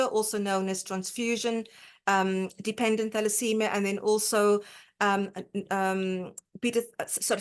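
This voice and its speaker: A woman from South Africa with a South African accent, a dietitian giving an online lecture, often pausing with fillers and restarting her sentences. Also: medium pitch. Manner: hesitant, many fillers and restarts.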